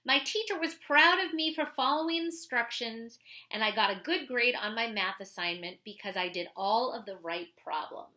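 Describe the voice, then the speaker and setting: A woman voicing an earnest schoolboy telling his own story from a children's picture book, in an expressive, singsong way. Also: fairly high pitch, normal speed. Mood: proud.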